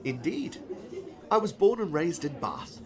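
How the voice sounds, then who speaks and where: A man's voice: on the high side, very animated, measured pace, a crowd in the background. A man playing a genial, eccentric older novelist with a polite, quaint, chatty manner in an audiobook. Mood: chatty, fond. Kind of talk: audiobook character dialogue.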